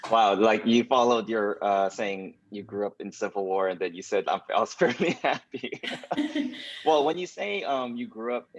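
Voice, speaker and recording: A lower-voiced man hosting a church webinar over a video call. He talks casually and hesitantly, with plenty of "like" and "um". The audio is dry and a bit dull and lo-fi. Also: expressive, moderate pace, no echo. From United States. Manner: hesitant, many fillers and "like".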